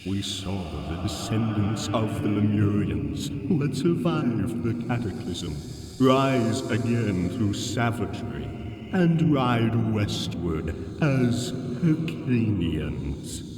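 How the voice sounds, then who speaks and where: An actor playing an ancient, enslaved alien god in a fantasy audio drama, solemn and sorrowful, with grandiose archaic phrasing. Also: male voice, lowish voice, expressive, takes it slowly, background noise. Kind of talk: full-cast fantasy audio drama monologue. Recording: cavernous echoing reverb, distant, music underneath. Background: music.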